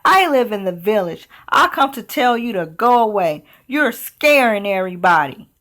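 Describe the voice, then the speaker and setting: A woman's voice: medium pitch, sing-song, moderate pace, fairly bright tone. A woman voicing a brave, outspoken village girl in a storybook, bold, direct and emphatic. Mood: firm.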